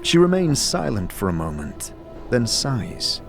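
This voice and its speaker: A male narrator tells a scripted fantasy tale. His mid-range voice moves with lively intonation, and music plays clearly behind him. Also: mid-range voice, unhurried.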